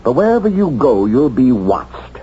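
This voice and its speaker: Guy doing pompous cold banker, very theatrical swings in pitch, curt, dull old radio recording. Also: very animated, normal speed, warm tone, slight background noise, close to an everyday voice. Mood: cold, dismissive. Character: haughty, evasive, cold bank executive. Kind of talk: 1970s radio drama acting.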